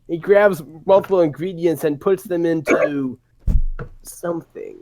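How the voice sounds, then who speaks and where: A man narrating a playful dramatic script reading among friends, theatrical and quick to break off into asides. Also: on the high side, a little mellow. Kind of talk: playful group script read-through.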